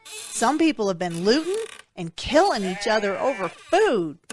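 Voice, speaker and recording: A woman's voice: mid-range voice, sing-song, measured pace. A woman playing a kindly, folksy rural woman who shelters survivors in an audio drama, speaking in a soothing, motherly manner. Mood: grave, fearful.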